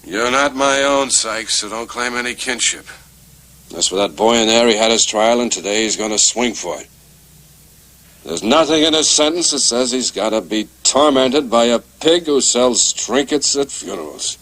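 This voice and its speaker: An actor playing a stern, weary Western sheriff with a curt, scornful way of talking. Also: male voice, medium pitch, lively intonation, normal speed, fairly bright tone, poor audio. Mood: stern, firm. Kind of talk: television drama acting.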